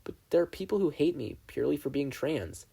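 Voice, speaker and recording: A man's voice: on the high side, big pitch swings, brisk, creaky, dark tone, husky, pitched above the performer's own voice, full character voice. A man voicing an anxious, self-doubting boy in a story, speaking in a hesitant, vulnerable manner. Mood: downcast.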